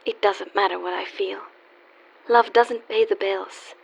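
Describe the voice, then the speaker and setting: A woman's voice: mid-range voice, fairly even intonation, measured pace, vocal fry, breathy edge, exaggerated voice. A woman playing a wry, world-weary young call girl in a radio play, teasing yet guarded and wistful. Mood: defensive. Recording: dull lo-fi audio with faint hiss.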